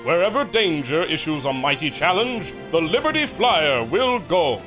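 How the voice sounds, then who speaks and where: A man announcing in 1940s radio serial fashion, grand and stirring. His voice sits a touch high and moves with lively pitch. Faint music plays beneath him. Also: moderate pace.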